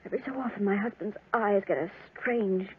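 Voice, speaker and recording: An actress playing a frightened, worn-out farm wife, pleading and halting, in 1940s radio drama style. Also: female voice, mid-range voice, slight background noise, lightly acted. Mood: fearful, weary. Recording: narrow, tinny old 1940s radio recording.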